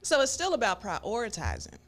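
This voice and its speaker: A woman's voice: mid-range voice, wildly swooping pitch, a little fast, a bit bright. A woman from Texas chatting casually as a podcast panelist. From Texas, United States. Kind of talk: casual chat.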